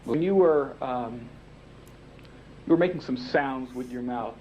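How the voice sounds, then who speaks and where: A man questioning a witness as an attorney in a formal, halting and polite manner. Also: medium pitch, a little mellow. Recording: dull noisy archival deposition tape.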